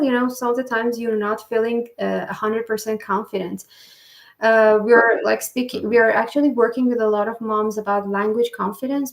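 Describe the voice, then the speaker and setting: A woman hosting a podcast interview, speaking in halting phrases full of fillers. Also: fairly high pitch, gravelly. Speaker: podcast host. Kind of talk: interview conversation. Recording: echoey room.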